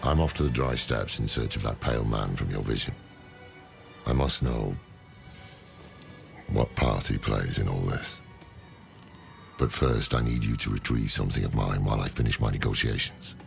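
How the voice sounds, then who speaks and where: Weary old demon-hunting scholar, wry and grave, extremely low and heavily creaky, game drama. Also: male voice, normal speed, long pauses, faint music behind, exaggerated voice. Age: older. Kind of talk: dramatic video game dialogue and narration.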